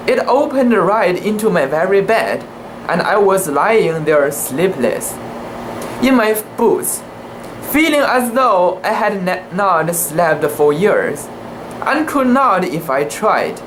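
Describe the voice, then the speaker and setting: Slow, careful amateur reader, faint background hum. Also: mid-range voice, sing-song. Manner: halting, careful reading with imperfect pronunciation. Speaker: amateur narrator. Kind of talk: dramatic reading of a literary sea story.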